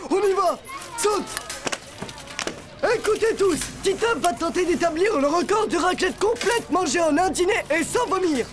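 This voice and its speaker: A woman's voice: very high-pitched, animated, moderate pace. A woman voicing an eager, chatty, bossy schoolboy in a French cartoon, full of enthusiasm. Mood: excited. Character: eager, chatty schoolboy, self-appointed manager and food lover. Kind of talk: French animated cartoon acting. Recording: music behind the voice.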